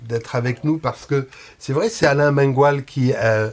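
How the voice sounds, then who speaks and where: A man's voice: medium pitch, unhurried. A male host in a casual interview chat, talking informally and sharing anecdotes.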